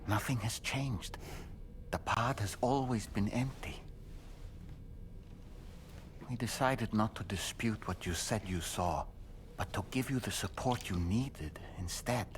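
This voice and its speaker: An actor playing a gentle, grave male therapist in a video game, speaking softly in measured, careful phrases. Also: lowish voice, a little hiss or hum. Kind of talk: video game cutscene acting.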